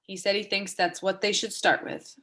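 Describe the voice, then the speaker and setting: Actress as brittle, acerbic woman for stage dialogue; mid-range voice, blunt and sweary with halting phrasing. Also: mid-range voice, little pitch movement, a bit bright. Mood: hesitant, subdued. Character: sharp, foul-mouthed woman, bitterly witty, brittle and vulnerable. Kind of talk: dramatic reading of a stage play dialogue.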